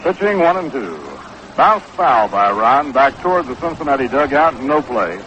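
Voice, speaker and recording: An American man calling baseball play-by-play in 1960s radio style, with a measured, unhurried, factual delivery. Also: medium pitch, expressive, a bit bright. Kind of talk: 1960s live radio sports commentary. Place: ballpark broadcast booth. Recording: dull, noisy 1960s AM radio broadcast.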